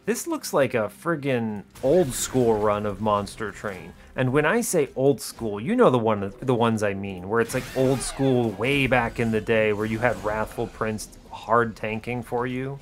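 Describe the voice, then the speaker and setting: A man's voice: medium pitch, animated, unhurried, hardly any pauses. A man giving casual video game commentary, thinking aloud and questioning himself as he talks through each decision. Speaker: gaming video host.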